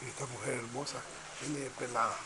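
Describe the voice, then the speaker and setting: A man's voice: medium pitch, fairly even intonation, normal speed. A young man filming his family on a handheld phone, teasing and chatting playfully from behind the camera. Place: rural home with yard and hammocks. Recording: loud background noise.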